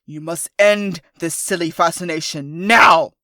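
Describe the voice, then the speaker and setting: A woman voicing a stern, scornful father of grown daughters in an audiobook, accusing and forceful. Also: lowish voice, unhurried, dry recording, studio quality. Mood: angry, accusing. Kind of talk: audiobook character dialogue.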